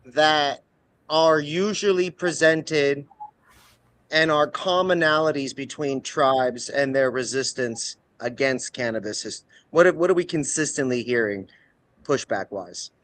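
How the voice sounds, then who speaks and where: A man's voice: a little high, measured pace, a bit bright. A man from the Pacific Northwest hosting a podcast, asking long, rambling questions with enthusiasm. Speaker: podcast host. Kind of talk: conversational podcast interview.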